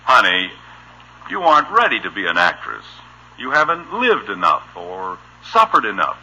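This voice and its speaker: A man's voice: lowish voice, wildly swooping pitch, moderate pace, highs cut off, full character voice. An actor playing an exasperated but tender middle-aged American father in a 1950s radio sitcom. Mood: gently earnest. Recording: bright, rough old radio recording.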